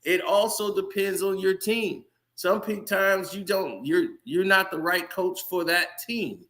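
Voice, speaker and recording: A man's voice: high-pitched, unhurried, sharp tone. A man hosting a casual sports commentary channel, ranting heatedly and talking straight to his viewers.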